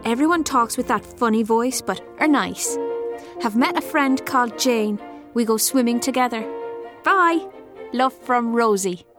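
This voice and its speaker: A woman's voice: a little high, unhurried, fairly bright tone, soft background music. An actress reading letters as a frank little schoolgirl, talking in plain, childlike short sentences. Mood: chatty, excited. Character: outspoken little schoolgirl writing frank notes to friend.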